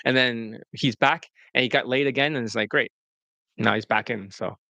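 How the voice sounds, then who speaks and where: A man's voice: steady tone, normal speed, vocal fry, sharp tone, no echo. A man hosting as a dating coach, talking bluntly and profanely, mocking his students with whiny put-on voices. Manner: brash, profane, mocks students in high-pitched quoted whines. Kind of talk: blunt promotional coaching talk.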